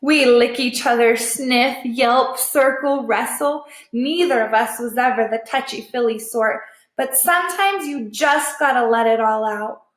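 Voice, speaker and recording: High-voiced woman teacher reading aloud, echoey room. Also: measured pace. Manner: expressive, checks understanding with questions. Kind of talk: children's book read-aloud with explanations.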